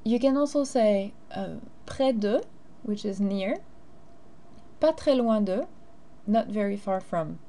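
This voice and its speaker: A woman's voice: medium pitch, lively intonation, takes it slowly, long pauses, mellow. A teacher, a woman, explaining grammar and carefully modeling phrases in a recorded lesson. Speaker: French teacher.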